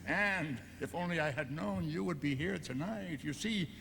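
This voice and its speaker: A man's voice: a little high, normal speed, gravelly, a bit bright, slight background noise, rough recording. An actor playing a vengeful Venetian nobleman who narrates a gothic audio drama, theatrical and brooding, seething beneath sly false courtesy. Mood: falsely courteous. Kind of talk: melodramatic gothic radio drama narration and dialogue. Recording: music under the voice.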